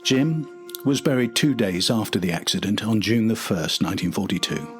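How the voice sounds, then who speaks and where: A man's voice: lowish voice, animated, moderate pace, faint music behind. An older man narrating a documentary from a script.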